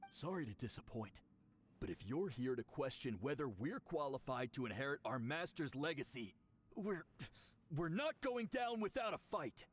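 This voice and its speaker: Jittery young priest, bold words with a stammer, animated, for a game. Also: male voice, fairly high pitch, normal speed. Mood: defiant. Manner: blustering then stammering.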